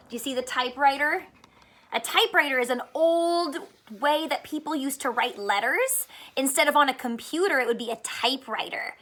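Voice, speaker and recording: Woman teacher for children's read-aloud: high, bright voice, expressive, pauses to explain and ask simple questions.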